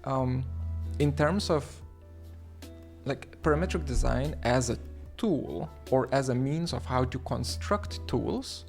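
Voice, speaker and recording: A man from Lithuania hosting a livestream, talking haltingly with many fillers and restarts as he reads the chat aloud. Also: mid-range voice, lively intonation, gravelly, faint music behind. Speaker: livestream host.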